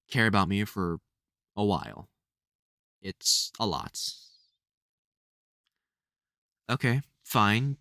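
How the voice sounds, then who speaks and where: A man playing a soft-hearted, protective vampire hunter mentor in an intimate audio roleplay, speaking fondly and hesitantly with wry exasperation. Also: mid-range voice, clear, resonant tone, dry recording, clean studio sound. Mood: touched, fond. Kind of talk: intimate audio roleplay acting addressed to the listener.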